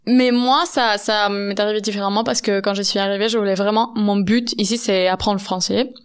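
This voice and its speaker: Woman, smooth clear voice. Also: mid-range voice, fairly even intonation, fairly quick. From Catalonia, Spain. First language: Catalan. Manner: earnest, laughs often, searches for words in French. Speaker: podcast host. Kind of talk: casual conversation.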